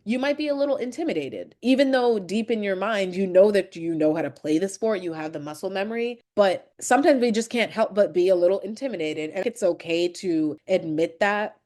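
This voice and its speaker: A woman's voice: mid-range voice, brisk, few pauses, mellow. A woman in her thirties whose first language is French, hosting a solo podcast in a chatty, reflective way and laughing lightly between phrases. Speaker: podcast host. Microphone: podcast microphone.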